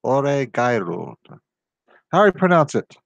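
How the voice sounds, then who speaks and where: Guy ranting solo on his channel, goes off on tangents, middle pitch, dead dry sound. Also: big pitch swings, unhurried, creaky, no room sound, dull audio. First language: not Japanese. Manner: rambling, exasperated, goes on tangents. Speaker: video host. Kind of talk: casual solo commentary.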